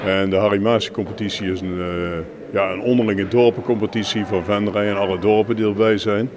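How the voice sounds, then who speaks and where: A man explaining his sport in an informal interview, with halting, filler-strewn delivery. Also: on the low side, steady tone. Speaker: jeu de boules club representative being interviewed. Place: busy sports hall, on location. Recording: noisy venue with clear background ambience.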